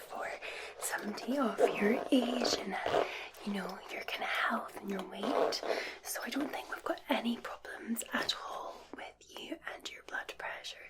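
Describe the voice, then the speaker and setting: Scottish lady doing soft breathy asmr whispers, gentle nurse checkup roleplay, slightly high voice. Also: poor audio. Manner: gentle, reassuring. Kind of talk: ASMR medical exam roleplay.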